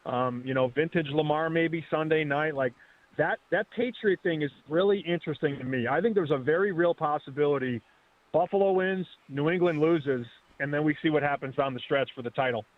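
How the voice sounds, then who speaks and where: A man in his thirties calling in as a betting guest, talking fast and casually, often restarting his words. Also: a little high. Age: 30s. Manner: quick, restarts words, chatty. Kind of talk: casual sports betting chat. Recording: phone line.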